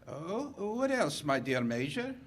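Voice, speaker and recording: Man as a suave, high-voiced, swooping police captain. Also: big pitch swings, takes it slowly, heavily acted. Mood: smooth, ironic. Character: suave, sly, obliging French police captain. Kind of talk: 1940s radio acting.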